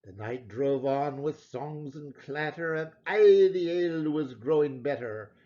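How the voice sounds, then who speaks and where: Animated man reciting narrative verse, unhurried. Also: a little high, highs cut off. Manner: theatrical, expressive storytelling. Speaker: poetry reader. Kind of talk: dramatic recitation of a narrative poem in Scots.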